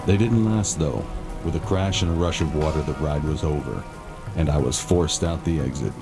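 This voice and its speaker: A brooding grown man, haunted by his past. His voice is exceptionally low, narrating in a measured, dramatic first-person style. Loud music runs underneath. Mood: somber, haunted.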